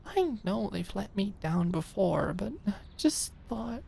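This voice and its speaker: A high, shaky young woman's voice performed by a female audiobook narrator, hurt and close to tears. She speaks haltingly and apologetically, pleading like someone confiding in her best friend. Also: very animated, creaky, mellow, a little hiss or hum, heavily acted. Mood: hurt, fragile. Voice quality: shaky, trembling voice. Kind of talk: audiobook drama dialogue.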